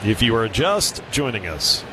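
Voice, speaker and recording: A man's voice: fairly low pitch, very animated, measured pace, noisy background. Male baseball broadcaster at the ballpark. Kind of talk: live play-by-play commentary. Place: stadium broadcast booth.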